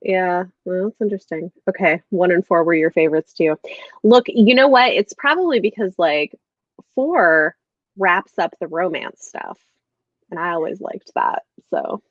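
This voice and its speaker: A woman's voice: fairly low pitch, expressive, normal speed, no room sound, lo-fi sound. A woman hosting a casual book chat, effusive as she reacts to comments on a livestream. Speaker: book discussion livestream host.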